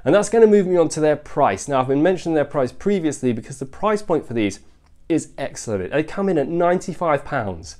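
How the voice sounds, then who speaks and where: A man's voice: on the high side, animated, a little fast, a little mellow. A man with a bunged-up, congested nose, giving an upbeat sneaker review vlog and often repeating "really, really nice". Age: adult father.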